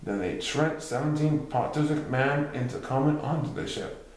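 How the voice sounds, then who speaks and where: A man's voice: medium pitch, moderate pace, crowd noise. Man reading a story in a very echoey room. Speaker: reader. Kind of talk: scripted story narration, reading aloud.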